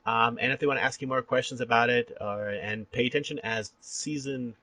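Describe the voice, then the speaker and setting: Male podcast host, forward bright tone with a husky edge; excitable, rambling casual fan talk. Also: mid-range voice. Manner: enthusiastic, rambling, quick to laugh. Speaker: podcast host interviewing a guest. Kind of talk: casual fan chat interview.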